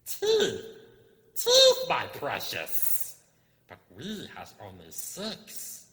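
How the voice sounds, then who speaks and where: A man's voice: sits high, wildly swooping pitch, sharp tone, pitched above the performer's own voice, heavily acted. A man voicing a wretched, sneaky cave creature in a storybook reading, hissing and spluttering as he draws out his words. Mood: agitated hissing. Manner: slow, drawn-out muttering with sudden shrieks. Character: wretched, sneaky, hungry cave-dwelling creature, lonely and nasty. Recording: cavernous hall reverb.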